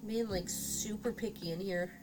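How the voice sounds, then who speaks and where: A woman's voice: mid-range voice, steady tone, moderate pace, over music, poor audio. A woman live-streaming her sculpting work, chatting casually to viewers in a congested voice from a cold, often trailing off with fillers. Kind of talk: casual live-stream chat while working.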